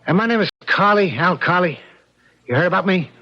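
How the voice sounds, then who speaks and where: An actor playing a menacing crime boss who talks in an ingratiating way with veiled threat, in an old-time radio drama. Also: male voice, high-pitched, a little fast, close to an everyday voice. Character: smooth-talking, menacing male crime boss. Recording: dull lo-fi radio drama recording.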